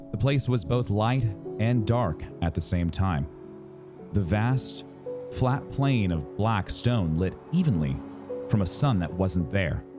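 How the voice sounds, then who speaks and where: A man reading a story aloud as a dramatic narrator, with suspenseful, deliberate phrasing and pauses. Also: lowish voice. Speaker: narrator reading a novel excerpt. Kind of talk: dramatic audiobook-style narration.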